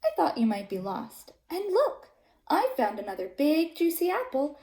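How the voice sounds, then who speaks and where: Young ewe for a picture book, voiced by a woman: kind, reassuring, high and lilting. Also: wildly swooping pitch, moderate pace, mellow, reverberant, heavily acted. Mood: kind, cheerful. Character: kind, forgiving young female sheep friend.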